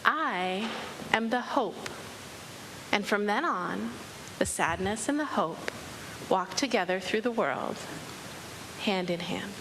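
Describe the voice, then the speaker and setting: A woman telling a story to a gathering, expressive and deliberate, with dramatic pauses. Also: mid-range voice. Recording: reverberant hall, audible background noise.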